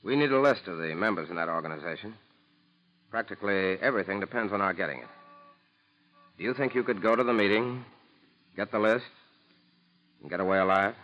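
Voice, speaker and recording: An actor playing a steady, commanding federal agent with a firm, terse 1940s radio delivery. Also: male voice, fairly low pitch, fairly quick, long pauses, natural voice. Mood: grave. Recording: dull old 1940s radio recording.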